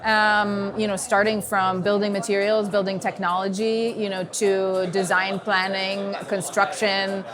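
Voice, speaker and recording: A woman's voice: medium pitch, flat delivery, measured pace, few pauses, crowd noise. Upbeat American woman at an event. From New York. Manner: fluent, enthusiastic, many "you know" fillers. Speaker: strategy consultant, guest. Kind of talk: conversational interview. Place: busy event venue in a container.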